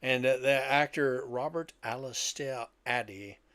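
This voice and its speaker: A man's voice: mid-range voice, a little slow, fairly bright tone, no echo. An older man co-hosting a podcast, talking casually with friends about old films. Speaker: podcast co-host.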